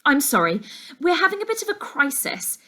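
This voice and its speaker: Storybook heroine: earnest, determined young girl acted by a female reader, polite, placating, lifted pitch. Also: sing-song, fairly quick, sharp tone, exaggerated voice. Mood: apologetic, flustered.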